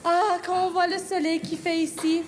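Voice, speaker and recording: Give me a fussy, exhausted lady with a very high, piping voice, as played by a young actress. She stretches her words out for the stage, and everything she says is theatrical and overdone. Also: little pitch movement, normal speed. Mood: melodramatic.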